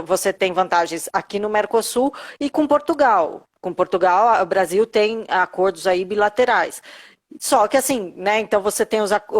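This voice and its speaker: A Brazilian woman, a lawyer, explaining things step by step on a live video call, with lots of fillers and tag questions. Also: mid-range voice. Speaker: lawyer guest on Italian citizenship.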